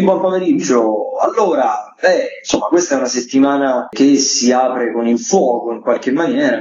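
A man with an average-pitched voice, heard in a roomy, echoey space with slightly dull sound. He comments like a radio arts critic, in long, articulate, discursive sentences. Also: mellow. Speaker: theatre critic and radio contributor. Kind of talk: spoken radio commentary on theatre news.

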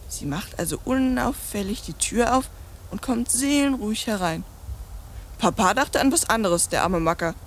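A woman's voice: mid-range voice, lively intonation, moderate pace, slight background noise, natural voice. A woman voicing a precocious, bluntly scornful young French girl in a radio play, telling stories in bossy, chatty slang. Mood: brash, indignant.

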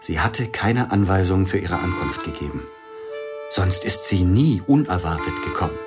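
A bitter grieving pianist. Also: male voice, low voice, expressive, normal speed, over music. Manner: brooding, drops to hushed near-whispers. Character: grieving older male pianist, bitter, suspicious. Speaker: actor in radio drama. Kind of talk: radio play acting.

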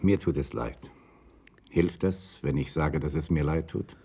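An actor playing a weary, remorseful man confessing in a droning monotone in a German radio crime drama. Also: extremely low pitch, a little slow, creaky, breathy edge, full character voice. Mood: dejected, resigned. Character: weary, remorseful man confessing, defending his old tomcat.

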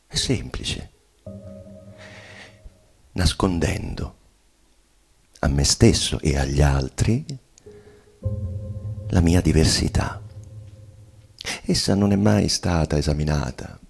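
An actor playing a dead bourgeois man bitterly confessing in a theatrical monologue, with halting, weighty phrasing and sudden swells. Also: very deep voice, vocal fry, a little mellow, faint music behind, full character voice. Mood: brooding, searching. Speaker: stage actor giving a dramatic reading. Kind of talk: theatrical monologue reading of a literary play.

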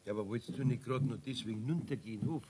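A man playing a blunt, hot-tempered Bavarian card player in a 1970s radio play, with a gravelly, sharp voice. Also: mid-range voice, fast, slight background noise, close to an everyday voice. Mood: indignant.

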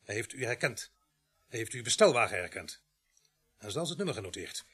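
An actor in a Dutch radio play playing a calm, dogged police sergeant, polite but insistent as he questions a suspect. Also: medium pitch, brisk, breathy edge, soft background music, exaggerated voice. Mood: pressing.

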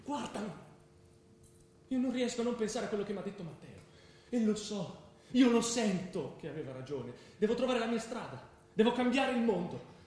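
An actor playing a troubled, restless young student in an intense, brooding radio theatre performance. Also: male voice, high voice, expressive, normal speed, a little mellow. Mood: bitter, agitated. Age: young adult. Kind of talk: stage monologue and dialogue in radio theatre. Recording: roomy theatre sound with music behind. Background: music.

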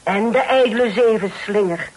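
An actress with a Netherlands Dutch accent playing a stern, pious older aunt who declaims sanctimoniously in a radio drama. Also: female voice, fairly high pitch, normal speed, darkish tone, slightly rough, low-quality recording. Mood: stern, probing. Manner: sanctimonious, declaiming in biblical phrases.

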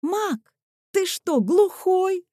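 A woman's voice: very high voice, animated, very slow, mellow, no echo, clean studio sound, pitched above the performer's own voice, exaggerated voice. A woman voicing a touchy, easily flustered woman of twenty-nine in an audiobook, exclaiming indignantly.

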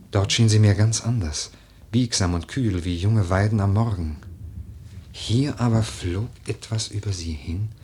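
An actor playing a brooding, eloquent, lordly widower in a literary radio play, with lyrical, deliberate, declamatory delivery. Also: male voice, lowish voice, darkish tone, husky, full character voice. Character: brooding, eloquent widower, poetic and lordly, drawn to a young woman.

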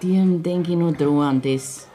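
A woman's voice: on the low side, normal speed, dark tone, lightly acted. An actress with a Bavarian accent playing an amused tavern landlady in a radio play. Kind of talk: 1960s radio play acting.

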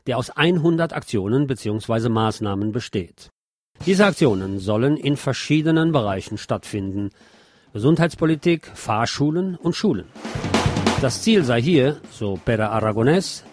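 A man reads scripted news reports as a presenter. His voice sits at an average male pitch. Guitar music plays behind him. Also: lively intonation, moderate pace. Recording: music under the voice.

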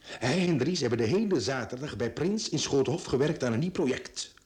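An actor playing a wry, chatty, gossipy police subordinate in a radio play. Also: male voice, mid-range voice, expressive, moderate pace, darkish tone, lightly acted. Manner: teasing, gossipy, hesitant.

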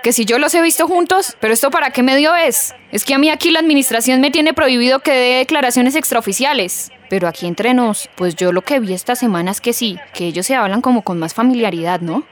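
A woman plays an officious building employee who loves gossip. She is conspiratorial and colloquial, fairly high in pitch, in radio soap style. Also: brisk, hardly any pauses, close to an everyday voice.

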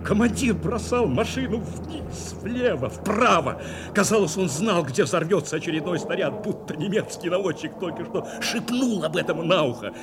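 An actor playing a grave, intense bomber crewman who recalls a wartime raid in measured, dramatic radio-play narration. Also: high voice, lively intonation, background noise. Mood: urgent, dramatic. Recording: 1970s radio drama with loud music bed.

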